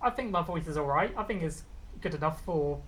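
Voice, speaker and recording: A man's voice: on the high side, expressive, fairly quick, fairly bright tone. A young British man with a regional accent, a VTuber guest chatting casually and rambling with lots of fillers. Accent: British, non-London regional. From Britain. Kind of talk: casual interview chat.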